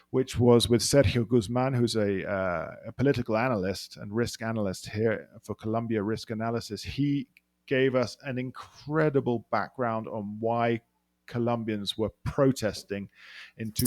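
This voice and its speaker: A man's voice: medium pitch, darkish tone, no echo. A man with a London accent chatting as a podcast guest, rambling with frequent restarts and fillers. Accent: London English. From England. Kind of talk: casual interview chat.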